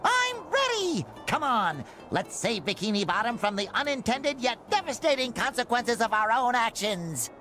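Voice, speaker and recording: A man voicing an earnest, excitable young sea sponge in a cartoon game, squeaky and nasal, with eager, naive delivery. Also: normal speed, hardly any pauses. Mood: eager, determined. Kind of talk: cartoon video game acting.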